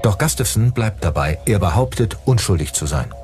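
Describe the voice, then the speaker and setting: A man's voice: fairly low pitch, animated, normal speed, darkish tone, faint music behind. A man reading scripted narration for a true-crime documentary.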